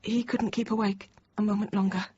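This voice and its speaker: An actress playing a thirty-year-old Parisian teacher, recalling an ordeal in measured, halting, controlled radio drama narration. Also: female voice, medium pitch, little pitch movement, warm tone, breathy edge, natural voice. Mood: tense recollection. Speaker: lead actor and narrator. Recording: dull, noisy radio drama recording.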